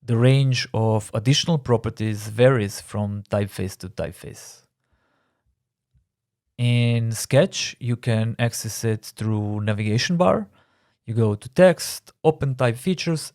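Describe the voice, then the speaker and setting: A man from Croatia with a Croatian accent, a native Croatian speaker, narrating a scripted design tutorial in a measured, explanatory way with slightly non-native phrasing. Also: on the low side, a little mellow, no echo, clean studio sound. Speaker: design instructor.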